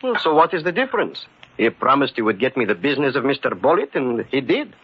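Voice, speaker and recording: An actor playing an anxious, hard-working immigrant husband in old-time radio drama style, emphatic and pleading. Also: male voice, mid-range voice, sing-song, quick pace, breathy edge. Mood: proud, evasive. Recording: muffled, noisy old radio recording.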